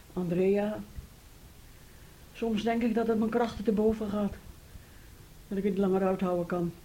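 A woman's voice: medium pitch, a little mellow, breathy edge, rough recording. An actress playing a domineering, weary older mother in a radio play, speaking in a stern, emphatic way.

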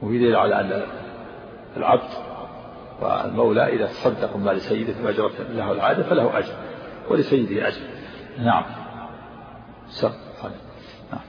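Fast-talking sheikh in an echoey hall. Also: male voice, fairly low pitch, vocal fry. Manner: explanatory, repeats and restates points. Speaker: sheikh teaching hadith commentary. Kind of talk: lesson explaining hadith. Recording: roomy hall, dull lo-fi sound, faint noise.

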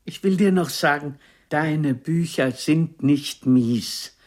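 An actress playing an aging, imperious stage diva who teases a former lover in a deliberate, theatrical radio drama delivery. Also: female voice, low voice, a little mellow. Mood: measured, proud.

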